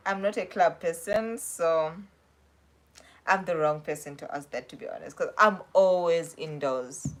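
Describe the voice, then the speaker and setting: A woman's voice: on the low side, unhurried. A young woman with a South African accent, chatting casually on a livestream and answering viewer questions in a rambling, self-correcting way. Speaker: livestream host.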